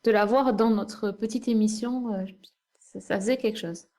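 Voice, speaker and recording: A woman's voice: mid-range voice, steady tone, moderate pace, darkish tone. A woman in casual podcast chat, speaking informally and a little hesitantly.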